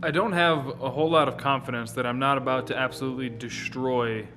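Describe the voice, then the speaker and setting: An American man with a mid-pitched voice talks casually through hands-on car work in a garage. He thinks aloud in an informal, workshop-vlog way, with music in the recording. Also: fairly even intonation, a little fast. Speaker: car builder and video host. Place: home garage.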